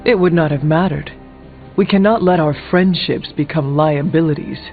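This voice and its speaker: A male actor plays a stern, uncompromising hunter from a video game, speaking formally and solemnly. The voice sits fairly high for a man. It comes through thin and phone-like over music. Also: moderate pace, natural voice. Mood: firm. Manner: formal, uncontracted, solemn speech. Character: grave, stern, uncompromising warrior hunter, adult. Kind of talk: video game character dialogue.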